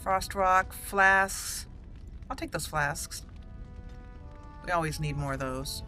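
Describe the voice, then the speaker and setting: A woman's voice: fairly low pitch, slow pace, pushed and bright, faint music behind. A woman giving casual commentary as she plays and roleplays through a fantasy video game. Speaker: gaming channel host, player. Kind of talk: casual let's play commentary with in-character roleplay.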